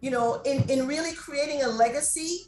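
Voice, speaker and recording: High-voiced woman from Jamaica, chatty. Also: normal speed, a little mellow, echoey room, soft background music. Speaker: restaurant owner and guest. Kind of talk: interview conversation.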